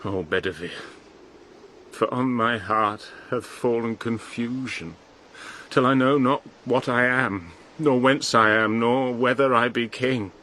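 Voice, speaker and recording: A man's voice: medium pitch, slow, pushed and bright, a little hiss or hum. An actor playing a dying, grief-stricken noble king in a solemn, stately verse radio drama. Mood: anguished, bewildered.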